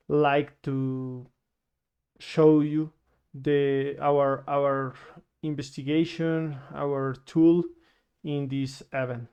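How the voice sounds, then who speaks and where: A man from Colombia presents his research in careful, unhurried English, his voice smooth and crisp. His delivery stays level and monotone, with frequent pauses and restarts as he searches for words. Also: mid-range voice. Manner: halting, many fillers and restarts. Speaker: security researcher. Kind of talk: conference presentation.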